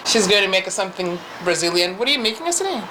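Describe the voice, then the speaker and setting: A woman's voice: medium pitch, big pitch swings, very fast, background noise. Bubbly woman hosting a cooking show. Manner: bubbly, chatty, laughs often. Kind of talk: casual cooking chat. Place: home kitchen.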